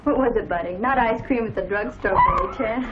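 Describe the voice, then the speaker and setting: Casting: actress as idealistic, effusive young woman, high voice, muffled vintage movie soundtrack. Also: animated, fast talker, lightly acted. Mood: teasing. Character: eager, idealistic young woman starting college. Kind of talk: old Hollywood film acting. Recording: muffled, noisy old film soundtrack.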